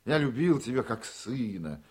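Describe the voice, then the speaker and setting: A proud old statesman of a radio play, speaks slowly and with great swings of pitch. He is paternal and needy, wheedling one moment and quick to anger the next. His voice is husky. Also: sing-song, a little mellow. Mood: pleading, reproachful. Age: older. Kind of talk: Russian radio drama acting.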